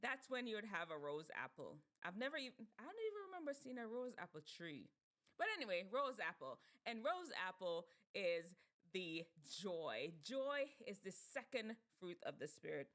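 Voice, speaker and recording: A woman from Jamaica with a Jamaican accent, hosting a casual talk for teens; she is animated, laughs often and muses aloud as she goes. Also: mid-range voice, normal speed. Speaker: show host.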